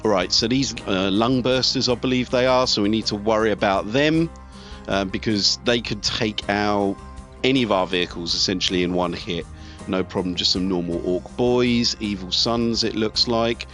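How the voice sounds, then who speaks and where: Low-voiced man doing game commentary. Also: moderate pace, fairly bright tone. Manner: conversational, thinking aloud. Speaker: video host, gamer. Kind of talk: casual let's play commentary on a strategy game. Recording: game music behind the voice.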